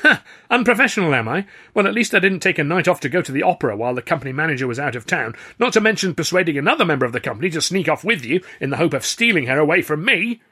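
A smug male stage comic, played by a man reading a story, bright and slightly rough in tone. He sounds cheeky, teasing and nonchalant. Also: fairly low pitch, animated, fast talker, hardly any pauses, full character voice. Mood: mocking retort.

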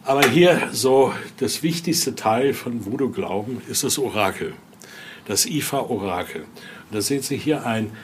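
A man giving an informal, halting explanation as a guide, often ending phrases with little questioning tags. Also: mid-range voice, animated, a little hiss or hum. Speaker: interviewee, exhibit guide and Voodoo expert. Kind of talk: informal spoken explanation during a guided tour.

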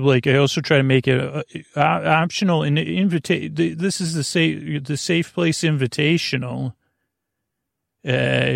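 A man hosting a bedtime podcast in a rambling, halting monologue, with a creaky voice, often repeating himself and trailing off. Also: on the high side, no room sound, clean studio sound. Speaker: podcast host.

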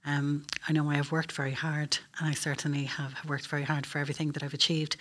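A woman's voice: low-pitched, flat delivery, fast, fairly bright tone. A middle-aged woman with an Irish accent, explaining things earnestly as a guest in a conversational interview. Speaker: air traffic control officer, interview guest.

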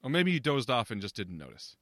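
A man's voice: medium pitch, big pitch swings, brisk, a bit bright, no echo, studio quality. A man playing a curt, impatient friend in an audio drama, dismissive and sarcastic. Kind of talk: scripted audio drama dialogue.

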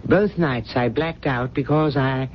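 An earnest, protective older butler, acted by a man in a vintage radio play. His mid-pitched voice is grave and courteous, heard on a dull recording with faint noise. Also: a little slow, natural voice. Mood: resigned. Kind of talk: 1970s radio drama acting.

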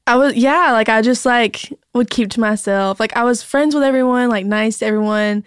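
A woman's voice: a little high, normal speed, smooth, no room sound, studio quality. A young woman, a singer-songwriter guest on a podcast, chatting and giggling, saying "like" constantly. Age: young adult. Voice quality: mezzo-soprano singer. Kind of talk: casual interview chat.

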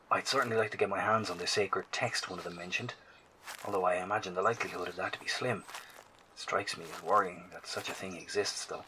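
An actor playing an anxious, scholarly survivor who broadcasts earnest, hesitant field reports in an audio drama. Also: male voice, deep voice, sharp tone. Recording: clearly noisy field transmission.

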